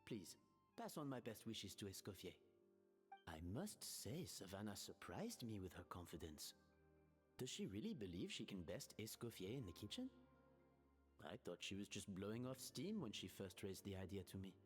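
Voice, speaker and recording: A man playing a polite, thoughtful gentleman in a video game, with a courteous, musing way of talking. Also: on the low side, very animated, normal speed. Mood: earnest, courteous. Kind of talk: English video game voice acting. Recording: long reverb, game music behind.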